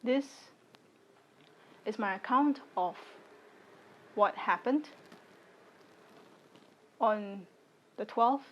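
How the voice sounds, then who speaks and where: A woman in her late twenties from Singapore, giving a personal video statement in deliberate, firm, short phrases. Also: fairly high pitch, dull audio. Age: late 20s. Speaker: content creator.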